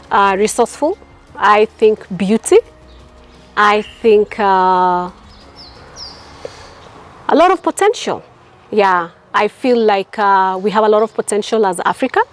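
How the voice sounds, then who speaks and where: A woman from Kenya, an entrepreneur, answering interview questions in an earnest, reflective way with repeated phrases. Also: medium pitch. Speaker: entrepreneur, property developer.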